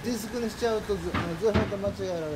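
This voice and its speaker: A talkative Kansai man with a fairly high voice, chatting easily with visitors. He is recorded outdoors on a camera mic, with clear street noise and cars around him. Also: rapid-fire. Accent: Kansai Japanese. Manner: friendly, talkative, chuckling. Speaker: cafe owner chatting with riders. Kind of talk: casual chat. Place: outdoors by a cafe parking area.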